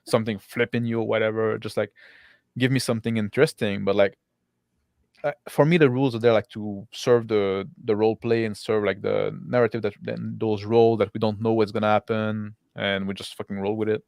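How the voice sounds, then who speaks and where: A man's voice: fairly low pitch, little pitch movement, a little fast, creaky, darkish tone, no echo. Rambling man hosting a tabletop stream, dry sound. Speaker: game master hosting an online roleplaying stream. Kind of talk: casual unscripted post-game chat.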